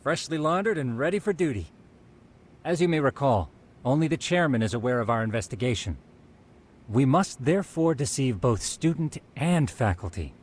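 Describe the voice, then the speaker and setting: A man acting a genial, methodical detective in a game cutscene. His medium-pitched voice swings expressively through polite, slightly theatrical phrasing, over a faint rumbling noise. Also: animated, normal speed, close to an everyday voice. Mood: playfully businesslike. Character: genial, methodical male detective with quaintly formal speech.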